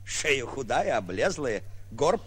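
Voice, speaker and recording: Gallant, self-important prince for 1940s radio comedy: husky, animated, grand stagey drawl. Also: male voice, mid-range voice, takes it slowly, full character voice. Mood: grand, assured. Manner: pompous, with hesitant drawled fillers. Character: suave smooth-talking self-styled prince, grandly gallant companion. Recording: muffled vintage 1940s radio recording.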